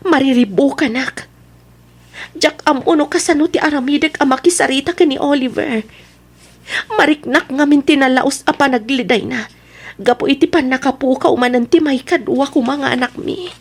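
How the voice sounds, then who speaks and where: A woman's voice: sits high, normal speed. A Filipino woman acting in an audio drama as a troubled, regretful wife and schoolteacher. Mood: worried.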